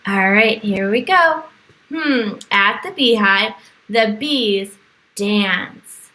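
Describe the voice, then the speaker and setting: A woman reading aloud as a teacher to young children, with animated exclamations, questions and explanatory asides. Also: mid-range voice, very slow, poor audio. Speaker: teacher reading to a virtual class.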